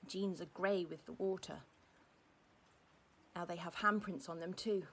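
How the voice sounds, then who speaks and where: An actor playing a grieving woman who gently describes what she watches, in simple, hesitant phrases, as an audio drama monologue. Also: fairly low pitch, fairly even intonation, unhurried, a little hiss or hum. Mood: wistful, hesitant. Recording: music behind the voice.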